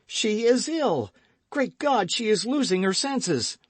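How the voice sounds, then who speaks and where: A man's voice: high-pitched, sing-song, slow, no room sound, pitched above the performer's own voice, exaggerated voice. A man reading aloud voices a passionate young man who cries out frantically. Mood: frantic. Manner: crying out frantically.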